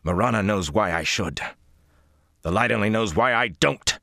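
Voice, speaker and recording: A man's voice: fairly low pitch, moderate pace, creaky, pushed and bright, dry recording, studio quality, pitched above the performer's own voice. A man voicing a young male channeler who speaks in a cold, clipped, commanding way. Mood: furious. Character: young male channeler, volatile, barely contained fury.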